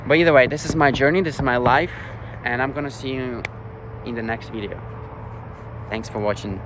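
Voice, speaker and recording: A man's voice: medium pitch, fairly quick, noisy background. A young man from Ukraine with a European accent, rambling casually through a vlog update with lots of filler words. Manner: rambling, many "like" and "you know" fillers. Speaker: vlogger.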